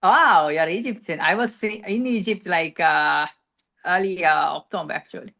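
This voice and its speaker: A woman's voice: fairly low pitch, moderate pace, creaky, bright, forceful tone. A woman running a meeting's impromptu speaking segment, hesitant with many fillers and restarts. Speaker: table topics master.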